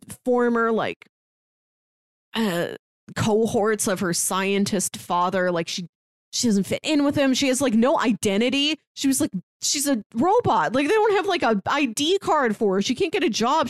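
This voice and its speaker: Casual female co-host for recaps, expressive and animated storyteller, very little room sound. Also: fairly high pitch, no echo, studio quality. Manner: animated storytelling that acts out quotes. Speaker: podcast co-host. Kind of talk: casual chat recapping a manga plot.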